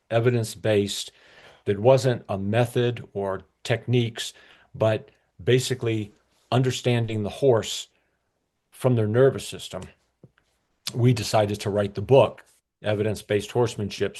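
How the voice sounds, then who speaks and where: A man speaking as an expert guest in an interview, explaining in measured, halting short phrases. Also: on the low side, darkish tone. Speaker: guest expert, brain scientist.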